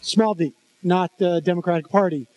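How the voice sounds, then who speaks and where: A man's voice: high-pitched, normal speed, a little mellow, dull audio. A man moderating a live political panel on stage, speaking with many fillers and restarts. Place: large convention hall stage.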